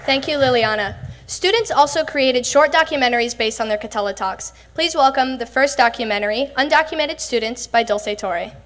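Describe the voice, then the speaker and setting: A woman announcer reads scripted introductions. Her voice is high, and it carries a long reverb over clear background noise. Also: a little fast.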